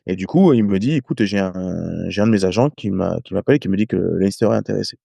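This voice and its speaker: A French man in his thirties, a rugby player guest, telling stories in casual podcast talk, halting with many hesitations and restarts. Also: fairly low pitch, gravelly, warm tone, dry recording. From France. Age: 30s. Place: home in Ireland.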